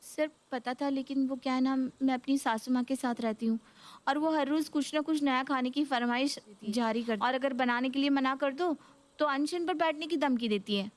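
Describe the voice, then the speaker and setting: A woman's voice: high voice, moderate pace, close to an everyday voice. A woman voicing an exasperated, pleading young working daughter-in-law in a Hindi cartoon story. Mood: apologetic.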